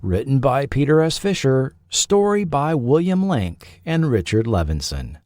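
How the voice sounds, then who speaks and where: A man hosting and narrating a scripted documentary podcast, with a measured delivery and dramatic pauses. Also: medium pitch, sing-song, darkish tone, no echo, clean studio sound.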